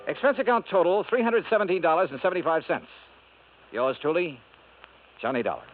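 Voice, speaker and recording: A man's voice: sits high, lively intonation, a little fast, noisy background. A man playing a hardboiled male insurance investigator, narrating and confronting suspects in clipped, matter-of-fact 1950s radio drama style. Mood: dry, reporting. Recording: tinny lo-fi 1950s radio broadcast.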